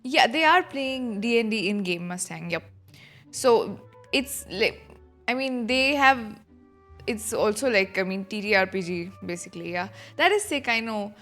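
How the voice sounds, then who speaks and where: A woman's voice: medium pitch, lively intonation, unhurried, fairly bright tone. A woman streaming a game with casual, gushing, rambling commentary over game music.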